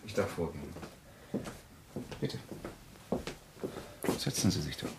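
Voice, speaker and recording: An actor playing a polite, coolly probing male writer who deliberately provokes, in a German crime radio play. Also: sits low, big pitch swings, gravelly, heavy background noise, heavily acted. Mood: polite, guarded.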